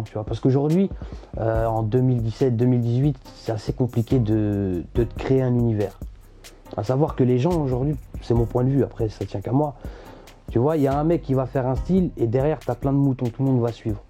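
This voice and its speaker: Young man, rapper guest, mellow dark voice, relaxed and hesitant, faint music behind. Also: medium pitch, a little fast. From France. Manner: relaxed, hesitant with many fillers. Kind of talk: casual interview chat.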